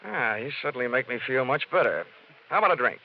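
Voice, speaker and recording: An actor playing a wisecracking hard-boiled American investigator, deadpan and flirtatious, in a 1950s radio detective drama. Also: male voice, medium pitch, quick pace. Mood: teasing, flirtatious. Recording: dull 1950s radio recording, music behind.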